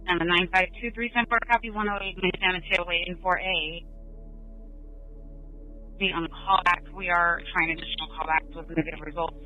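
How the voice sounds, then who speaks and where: A man's voice: high-pitched, fairly even intonation, moderate pace. A man passing procedural information over police radio. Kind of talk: radio call. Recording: tinny phone-like radio with faint music.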